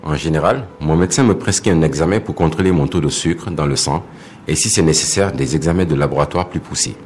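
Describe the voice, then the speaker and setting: A man's voice: extremely low pitch, brisk, darkish tone, a little hiss or hum. A man playing an earnest, disciplined patient in an educational cartoon, explaining things didactically to viewers. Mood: earnest, explanatory. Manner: didactic, clearly addressing the audience.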